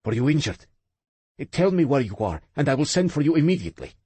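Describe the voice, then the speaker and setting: A man's voice: fairly high pitch, sing-song, fast talker, warm tone, breathy edge, dry recording, pitched above the performer's own voice. A man narrating an audiobook, voicing an older uncle who coaxes and threatens. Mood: coaxing. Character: older Russian uncle, smooth and menacing.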